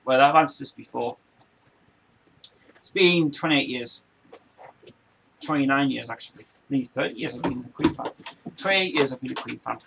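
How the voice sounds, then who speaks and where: A man's voice: mid-range voice, normal speed, vocal fry, pauses often, a bit bright, slight background noise, rough recording. An Englishman in his forties hosting a casual chat show from home, talking in a loose, meandering way that circles back and repeats itself. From England. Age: 40s. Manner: loose, meandering, repeats himself and restarts often. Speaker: show host.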